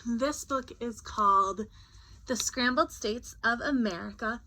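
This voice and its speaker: A woman teacher doing a children's read-aloud, expressive and chatty, with casual asides. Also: a little high, a little slow, poor audio.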